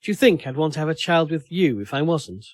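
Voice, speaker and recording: A man's voice: fairly high pitch, expressive, a little fast, dry recording, lightly acted. Guy reading a hurt girlfriend in an audiobook, reproachful, pleading with her man, dry close sound. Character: Steph, hurt, accusing young woman in a failing relationship.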